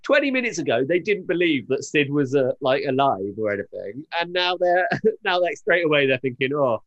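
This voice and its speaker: A man's voice: on the high side, very animated, moderate pace, fairly bright tone, muffled recording. A man co-hosting a casual episode recap, telling it in a hesitant, amused, rambling way. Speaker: podcast co-host recapping a TV show.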